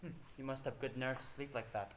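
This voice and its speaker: A blunt, uneasy house guest acted by a man in a student stage play, with a mid-pitched, colloquial delivery. Some room noise sits behind the voice. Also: steady tone, fairly quick, roomy sound, close to an everyday voice. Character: blunt, plain-spoken male house guest, uneasy on island. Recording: noisy stage recording with room ambience.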